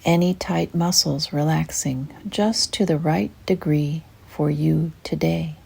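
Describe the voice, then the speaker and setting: An older woman with a soft, breathy, husky voice, gently and soothingly narrating a guided meditation in an unhurried way. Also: low-pitched, fairly even intonation.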